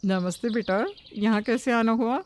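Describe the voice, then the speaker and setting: A woman's voice: a little high, moderate pace, darkish tone, close to an everyday voice. A woman voicing a familiar neighbour auntie who admonishes young brides in a cartoon story. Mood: kindly.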